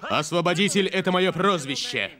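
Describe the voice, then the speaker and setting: A man's voice: sits high, expressive, a little fast, a crowd in the background. A man voicing a self-important eagle hero and narrator in a cartoon dub. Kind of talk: cartoon dubbing. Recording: music underneath.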